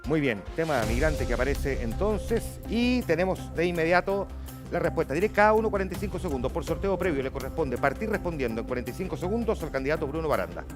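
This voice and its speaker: A man moderating a televised debate with a Chilean Spanish accent, brisk and upbeat as he directs the floor. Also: a little high, big pitch swings, music in the background.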